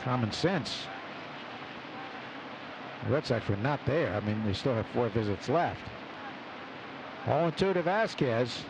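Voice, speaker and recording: A man calling live baseball play-by-play on the radio in short, clipped phrases packed with stats between pitches. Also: lively intonation, measured pace, pauses often. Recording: noisy live outdoor radio broadcast. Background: loud ballpark ambience.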